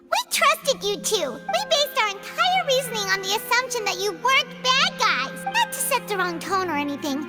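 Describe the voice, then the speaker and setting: A woman voicing a tiny, excitable fairy companion in a video game, childish, blunt and indignant. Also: very high-pitched, normal speed, loud music behind the voice. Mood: hurt, angry. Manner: childish, blunt, speaks in third person.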